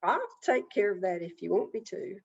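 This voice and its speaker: A woman's voice: medium pitch, big pitch swings, moderate pace, breathy edge, lo-fi sound. Woman as a sly, folksy rabbit trickster. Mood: sly, casual. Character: sly male rabbit trickster, casually reassuring.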